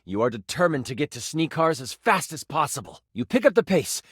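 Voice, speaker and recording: A man's voice: on the high side, brisk, breathy edge, dry recording, studio quality, exaggerated voice. A man playing an eager game master who narrates a fantasy tabletop adventure with dramatic expression in a video game. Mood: dramatic storytelling. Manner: dramatic, expressive, with aside remarks. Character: male tabletop game master, personified D20, eager theatrical storyteller.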